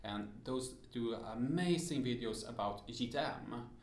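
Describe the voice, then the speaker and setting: A man's voice: medium pitch, measured pace, echoey room. A man on a panel discussion, speaking in an upbeat way and giving recommendations eagerly. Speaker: panelist, digital strategist.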